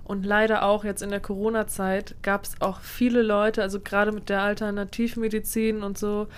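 A woman's voice: mid-range voice, level tone, normal speed, clean tone. A woman co-hosting a podcast in a casual, conversational chat. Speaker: podcast co-host.

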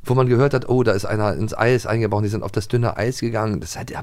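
A man's voice: fairly low pitch, steady tone, quick pace. A middle-aged man chatting casually as a podcast co-host, thinking aloud and repeating his phrases. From eastern Germany. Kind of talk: casual chat.

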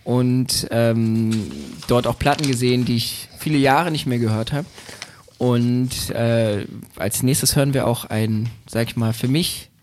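A man, a guest DJ chatting in a relaxed way with lots of fillers and restarts. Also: mid-range voice, measured pace. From Berlin, Germany. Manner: hesitant, many fillers and restarts, laughs easily. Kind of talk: relaxed conversational podcast chat.

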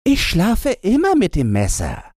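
A man's voice: high-pitched, huge pitch swings, measured pace, darkish tone, breathy edge, dry recording, clean studio sound, voice pushed up, exaggerated voice. Man doing a bossy little robber girl. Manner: bossy, teasing, threatening.

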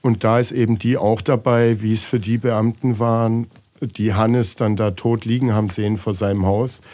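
Man, lowish pitch, dark relaxed tone, casual podcast host, conversational interviewer. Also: normal speed. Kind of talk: casual chat and short questions.

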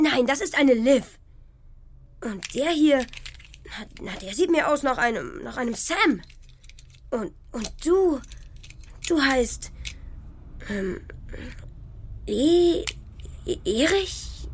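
A woman playing a playful young boy in a children's audio drama, thinking out loud hesitantly. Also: high-pitched, full character voice. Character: young boy naming his toy figures, playful, musing.

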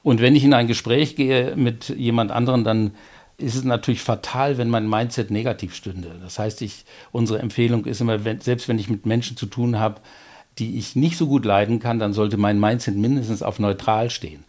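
Middle-aged male coach, interview guest; calm, explanatory, anecdotal. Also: medium pitch. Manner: measured, explanatory, occasional filler hesitations; tells personal anecdotes to make points. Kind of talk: interview conversation.

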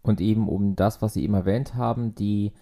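A man's voice: lowish voice, steady tone, a little fast, creaky, dark tone. A man hosting a history podcast, reading an earnest, composed introduction. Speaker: podcast host. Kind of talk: scripted introduction.

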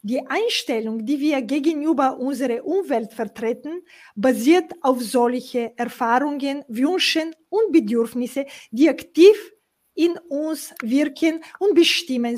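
A woman's voice: high-pitched, takes it slowly, a little mellow. A woman hosting an interview, reading a scripted intro and then asking long questions full of hesitant "äh" fillers. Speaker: interview host.

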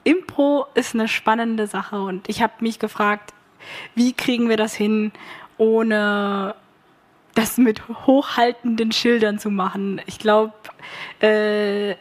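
A woman explaining what inspired her radio play, hesitant at times. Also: medium pitch. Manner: slow, hesitant. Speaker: workshop participant, author.

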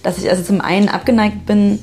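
A woman's voice: mid-range voice, level tone, fast talker, a little mellow. A woman hosting a homemade audio piece, talking casually and reflectively.

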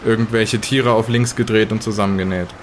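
A reluctant heir played by a man with a fairly low voice. He picks his words hesitantly and delivers them drily in an improvised audio drama, with faint vehicle noise behind him. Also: fairly even intonation, brisk, close to an everyday voice. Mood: hesitant, dry. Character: dry, reluctant male heir, uneasy about a treasure. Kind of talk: improvised in-character audio play, conversational.

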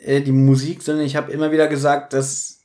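Podcast guy, voice a bit on the high side, casual chat, teasing banter. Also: monotone, fairly quick, mellow. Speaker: podcast host. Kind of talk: casual podcast chat, retelling and critiquing an audio drama.

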